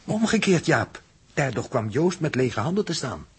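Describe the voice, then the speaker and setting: Husky dutch pedantic careful spaceman, old radio play, formal wording, pitch swings a lot. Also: sing-song, heavily acted. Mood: excited reasoning. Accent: Netherlands Dutch. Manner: formal, deliberate wording, pondering. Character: analytical, cautious, pedantic male spaceship crewman. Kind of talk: 1960s Dutch radio drama acting. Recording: old 1960s radio drama recording.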